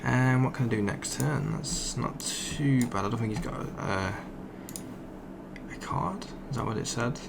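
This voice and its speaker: A young man, croaky from just waking up, rambling casually as he commentates a card game he is playing. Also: mid-range voice, a little slow, noisy background. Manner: rambling, thinks aloud with fillers. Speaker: gaming channel host.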